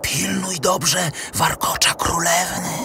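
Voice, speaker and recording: A man voicing a little golden snake, a cautious loyal servant in a fairy tale, hushed and whispery. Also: a little high, steady tone, slow, noisy background, pitched above the performer's own voice. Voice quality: whispery, creaky. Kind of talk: storybook character voice.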